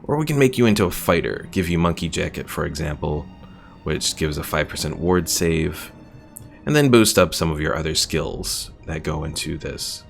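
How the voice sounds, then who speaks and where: A man with a deep, gravelly voice talks through a strategy game stream at an easy pace. He explains the mechanics in a relaxed, conversational way and answers viewers' questions as they come in. Faint music runs underneath him. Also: normal speed. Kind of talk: casual gameplay commentary answering chat.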